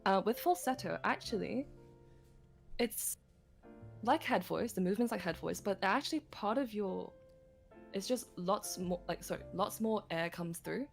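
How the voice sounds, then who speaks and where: A woman's voice: mid-range voice, animated, normal speed, gravelly, faint music behind. A woman explaining vocal technique on a livestream lesson. She talks casually, with many "like" fillers and vivid images. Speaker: singing instructor.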